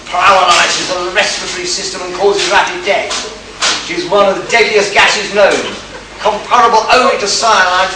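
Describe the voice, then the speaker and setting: Stern, deliberate detective for a radio play, male actor, distant from the mic, clear background noise. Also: sits high, natural voice. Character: stern, shrewd male detective accusing murder suspects. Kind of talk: amateur radio play acting. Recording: echoey, distant live recording with noise.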